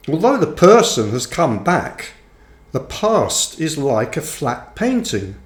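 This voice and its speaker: A man reading his own book aloud as scripted narration, with measured, deliberate phrasing. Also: on the high side. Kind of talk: audiobook-style scripted narration. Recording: roomy, echoing sound.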